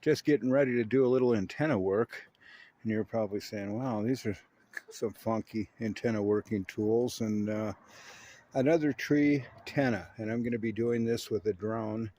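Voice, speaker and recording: A man's voice: fairly low pitch, moderate pace, mellow. A man narrating his own hobby video in a casual, folksy and self-assured way, with slangy turns of phrase.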